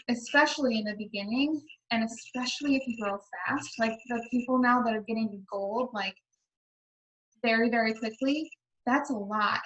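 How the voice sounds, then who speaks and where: Woman, slightly high voice, team leader on a video call, reflective and steady. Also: rough recording. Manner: reflective, talks through questions to herself. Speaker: team leader in direct sales. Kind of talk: casual group call discussion.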